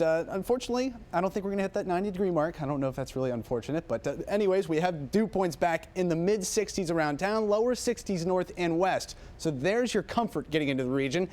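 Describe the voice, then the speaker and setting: A man delivers the morning weather forecast on local TV, relaxed and chatty, adding casual asides as he goes. His voice sits a little higher than most men's. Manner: relaxed, chatty, thinking aloud. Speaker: TV meteorologist.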